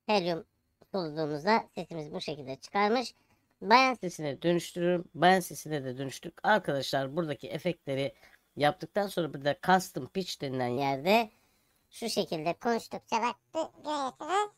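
A man demonstrating comic pitch-shifted voices through a voice changer in a software tutorial. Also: lively intonation, brisk, no echo. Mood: playful demonstration.

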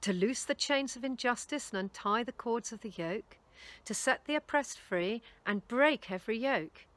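A woman in her fifties, a charity volunteer speaker, giving an earnest, measured sermon outdoors in a garden on a lapel mic. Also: on the high side, animated, a bit bright. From England. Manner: earnest, measured preaching with rising emphasis. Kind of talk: recorded sermon talk.

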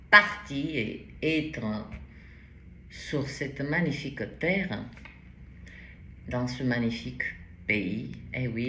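A woman in her forties with a foreign accent, reading tarot cards to the camera in a halting, casual way with many restarts. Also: rumbling low, pushed and bright. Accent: foreign accent in French. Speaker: tarot card reader. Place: bright indoor room at a table. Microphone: camera in front at table height. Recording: roomy echo, faint background hiss.

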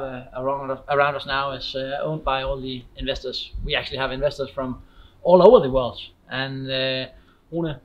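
A man's voice: medium pitch, dull audio. A man, giving a scripted promotional presentation in an explanatory way, speaking straight to the viewer. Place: large indoor warehouse, walking.

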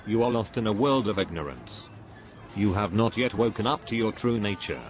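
A man's voice: on the low side, expressive, moderate pace, soft background music, lightly acted. A man playing a pompous, dogmatic spiritual guru in a scripted cartoon dialogue, preachy and dismissive. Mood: dogmatic, contradicting.

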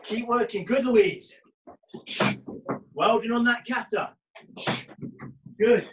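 A karate instructor calls out drills and shouts encouragement to students on a video class. He trains alongside them in a small brick-walled gym, heard from a camera across the room. A faint hiss sits under his voice. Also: very high-pitched, takes it slowly, lo-fi sound. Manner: barking encouragement and commands while training. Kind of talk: online class coaching.

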